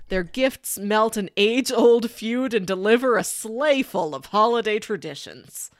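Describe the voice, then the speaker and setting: A woman co-hosting a podcast, pitching movies in a casual, enthusiastic and emphatic way with chatty asides. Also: animated, unhurried, no room sound, clean studio sound. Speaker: podcast co-host.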